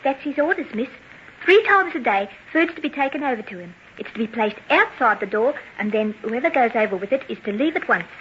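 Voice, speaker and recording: An actress playing a respectful, deferential household maid in an old-time radio drama. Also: female voice, a little high, lively intonation, a little fast, a bit bright. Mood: dutiful, hushed. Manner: dutiful, respectful. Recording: dull, noisy old radio recording.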